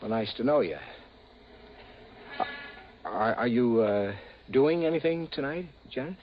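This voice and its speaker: A man's voice: medium pitch, lively intonation, unhurried, natural voice. A man acting a seasoned, restless hunter in a 1950s radio drama, hesitant and repeating himself. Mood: hesitant, polite. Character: seasoned male hunter, restless, uneasy with easy kills. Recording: muffled, hissy old radio broadcast.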